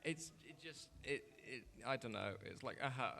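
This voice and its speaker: A man's voice: mid-range voice, moderate pace, creaky, crowd noise. A man chatting casually as a panelist at a fan convention. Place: convention meeting room.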